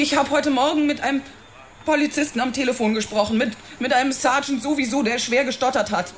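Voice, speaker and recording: A woman playing a flighty young starlet in a radio drama. Her voice is high, and she chatters in stumbling, repetitive bursts as if put out by police questions. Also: close to an everyday voice.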